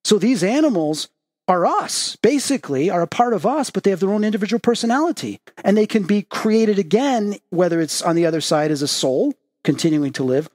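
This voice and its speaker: A man's voice: high-pitched, darkish tone, no room sound, clean studio sound. A man hosting a spiritual podcast, talking in an earnest, reflective way and telling personal stories. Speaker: podcast host. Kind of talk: casual spiritual podcast chat.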